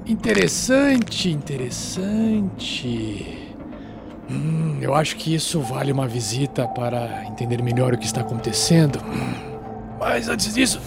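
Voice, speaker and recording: A man's voice: high-pitched, gravelly, heavily acted. A man voicing a small, eccentric, paranoid germophobe hacker who mutters theatrically to himself in a tabletop game. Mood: intrigued, then grumpy, fussy. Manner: theatrical muttering to himself, grumbling, self-satisfied.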